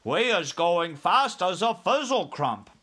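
A man's voice: sits high, sing-song, slow pace, bright, forceful tone, breathy edge, voice pushed up, exaggerated voice. A man voicing a friendly storybook giant who talks in muddled, folksy grammar with playful made-up words. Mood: eager warning.

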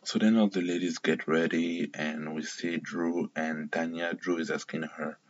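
A man's voice: low voice, fairly even intonation, unhurried, highs cut off, slight background noise. A man from Cameroon casually recapping a reality TV episode, rambling and opinionated, often correcting himself mid-sentence.

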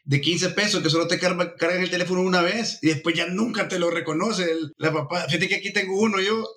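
Hombre hondureño de voz algo aguda. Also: male voice, sits high, fast, a bit bright, a little hiss or hum. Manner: laughing, jokey storytelling. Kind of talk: casual group chat.

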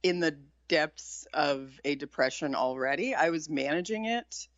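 Woman guest with a low voice, low-key and candid, casual talk; dull lo-fi sound, very dry. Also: expressive, unhurried, no echo. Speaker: podcast guest, chef and author. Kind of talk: casual interview chat.

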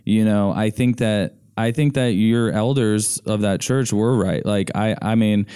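An earnest American man talks casually as a podcast guest, wandering through his story with lots of "like." His voice sits on the lower side and sounds warm and mellow. The tone is very smooth and clean. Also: unhurried. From United States. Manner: earnest, meandering, heavy use of "like".

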